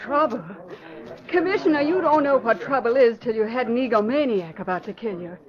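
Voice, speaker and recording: An actress playing a plucky young photographer, dramatic and wry, in an old-time radio drama. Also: female voice, a little high, brisk, a crowd in the background, close to an everyday voice. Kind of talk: 1940s radio acting. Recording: muffled old radio, clamour behind.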